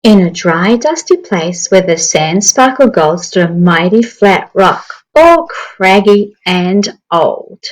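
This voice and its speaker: A woman reading a picture book aloud to young children, with expressive, deliberate, dramatic phrasing. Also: mid-range voice, poor audio.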